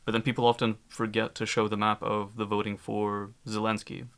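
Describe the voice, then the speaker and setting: A man's voice: on the low side, level tone, a little fast, a bit bright. A man from Ukraine speaking as a guest on a discussion panel, candid and emphatic, often restarting his sentences.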